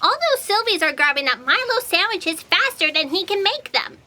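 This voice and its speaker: Squeaky, chirpy office underling. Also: female voice, very animated, normal speed, bright, forceful tone, soft background music, exaggerated voice. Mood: eager. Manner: eager, guileless. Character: chirpy, naive, hapless female cafeteria underling. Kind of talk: comic audio drama acting.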